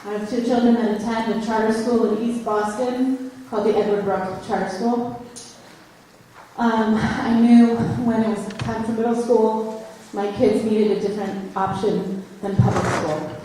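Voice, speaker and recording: A woman's voice: on the high side, steady tone, a little slow, warm tone, a little hiss or hum. Earnest mother pleading at a hearing. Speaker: parent testifying. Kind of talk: public hearing testimony. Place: large hall, indoors. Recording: echoey hall from far off.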